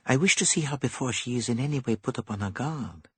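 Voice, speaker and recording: A man's voice: medium pitch, expressive, fast talker, sharp tone, husky, no echo, pitched above the performer's own voice. A man voicing a fussy, silky detective with a put-on French accent. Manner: precise, silky, probing.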